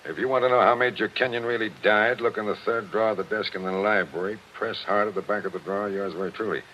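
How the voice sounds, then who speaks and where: An actor playing a skeptical police captain, blunt and questioning, in 1940s radio drama style. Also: male voice, lowish voice, fairly even intonation, quick pace, pushed and bright. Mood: deliberate. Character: skeptical, probing police captain pressing for answers. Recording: dull, noisy vintage radio recording.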